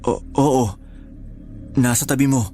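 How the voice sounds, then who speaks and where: A man's voice: medium pitch, little pitch movement, measured pace, dark tone, soft background music, natural voice. A man with a Filipino accent acting an apologetic, consoling friend in a radio drama, speaking earnestly. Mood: uneasy, reassuring. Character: apologetic, consoling male friend who sees spirits.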